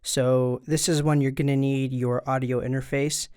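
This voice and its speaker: Man, tutorial presenter, smooth clear voice, mid pitch, informal step-by-step explaining, studio mic. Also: flat delivery, normal speed, darkish tone. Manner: informal, talking directly to viewers. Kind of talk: casual step-by-step instructional explanation. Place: indoors at a desk. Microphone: studio microphone through an audio interface.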